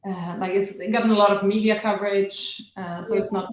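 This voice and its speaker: A woman's voice: medium pitch, fairly even intonation, a little fast, slight background noise. Informal woman in an echoey room. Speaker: activist, interview guest from a nonprofit. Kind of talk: casual interview chat.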